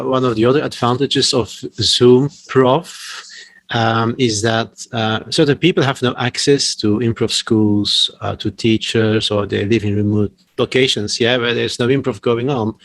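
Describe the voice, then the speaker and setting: Gravelly man co-host on a video call. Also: medium pitch, no room sound. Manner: agreeable, adding points with fillers. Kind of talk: casual interview over video call.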